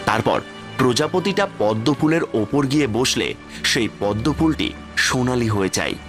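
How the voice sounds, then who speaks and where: A man narrating a cartoon fairy tale in steady, plain scripted storytelling. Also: mid-range voice, normal speed. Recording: faint background music. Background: faint music.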